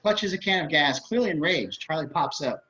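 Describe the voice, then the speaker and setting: A man's voice: fairly high pitch, expressive, moderate pace, bright, forceful tone. A man narrating stage directions for a script table reading over a dull-sounding video call.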